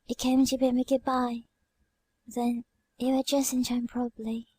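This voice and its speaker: Woman as a breathy, high-voiced, serene dying girl. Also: moderate pace, dry recording. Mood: weary, gentle. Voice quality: soft, weak, breathy. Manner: calm, gentle, tender. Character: dying young girl, serene, pious, gentle. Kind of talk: dramatic audiobook reading.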